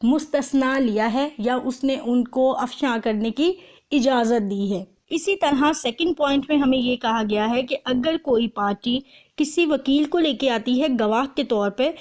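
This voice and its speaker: Pakistani lady teacher, highish voice, explaining stuff step by step, mixes in english terms. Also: darkish tone. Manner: mixes Urdu with English terms, reads clauses slowly and deliberately. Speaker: law lecturer. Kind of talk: explanatory lecture with phrase-by-phrase translation.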